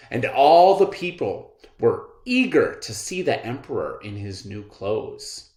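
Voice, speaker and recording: Very animated, slow-paced male storyteller. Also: a little high. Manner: deliberate, theatrical. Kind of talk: expressive read-aloud storybook narration. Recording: roomy echo.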